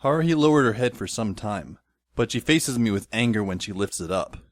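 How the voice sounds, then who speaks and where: A man's voice: fairly low pitch, animated, a little fast, dry recording, lightly acted. A man playing a sardonic, exasperated teenage schoolboy who narrates and acts his own story in an amateur audio drama, wordy and dry. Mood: wry narration. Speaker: narrator and lead character. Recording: faint music behind the voice. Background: faint music.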